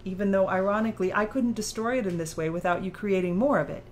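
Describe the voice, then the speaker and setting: A woman voices a female author in an audiobook dialogue, probing and analytical. She speaks at a middling pitch in pointed, articulate sentences. There is some noise behind the recording. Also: quick pace, lightly acted. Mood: pressing, insistent. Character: sharp, probing female author challenging her own creator.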